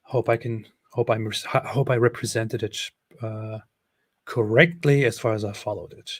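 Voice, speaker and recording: A man's voice: lowish voice, fairly even intonation, normal speed, no echo. Sarcastic man hosting a livestream, dry sound. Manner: sarcastic, rambling with fillers and restarts. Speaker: livestream host reading super chats. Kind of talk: casual livestream chat.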